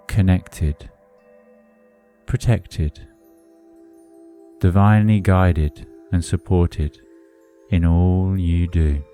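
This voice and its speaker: A man narrating a hypnotic guided meditation in a soothing, deliberate manner with measured phrasing. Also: sits low, dark tone. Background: music under the voice.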